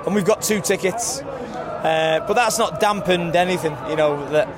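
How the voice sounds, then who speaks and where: Man, warrington accent, high voice, halting speech, crowd noise behind. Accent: English, Warrington. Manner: halting, many restarts. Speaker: football fan interviewed. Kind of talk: street vox pop interview. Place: busy city street among fans.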